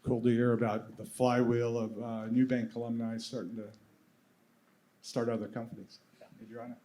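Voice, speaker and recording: A man's voice: medium pitch, little pitch movement, moderate pace, mellow. A man moderating a panel, giving brief, upbeat interjections between speakers.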